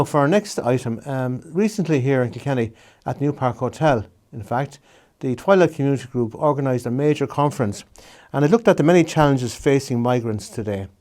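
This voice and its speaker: A man hosting an interview in a small studio, friendly and halting, with frequent fillers. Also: medium pitch, darkish tone. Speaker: host.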